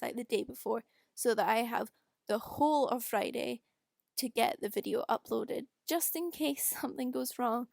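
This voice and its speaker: A woman's voice: on the high side, vocal fry, no echo, clean studio sound. A young woman with a Scottish accent, rambling casually and self-deprecatingly in an unscripted voice-over. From Scotland. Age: young adult. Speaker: video creator doing a voice-over. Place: quiet room at home.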